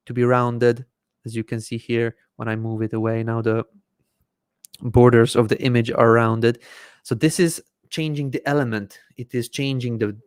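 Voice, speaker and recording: A man in his thirties whose first language is Hungarian, hosting a live Q&A and explaining software step by step from a desk microphone in a small room. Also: medium pitch, clean tone, no room sound, studio quality. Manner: explains step by step while clicking through software. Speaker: host answering viewer questions. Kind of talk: live Q&A with screen-share demonstration. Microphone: desk microphone in front of face.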